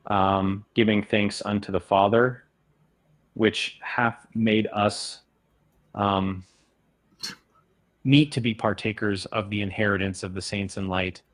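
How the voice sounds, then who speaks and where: Slow low synthetic male reader. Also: no echo. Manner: slow, even recitation with stray filler artifacts. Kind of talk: synthetic scripture reading.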